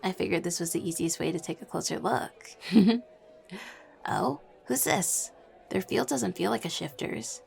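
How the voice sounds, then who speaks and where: Girl doing a playful teasing werewolf lady, lowish creaky voice, super animated, roleplay audio. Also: unhurried, full character voice. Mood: playful, curious. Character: playful, cheeky female shifter of werewolf pack.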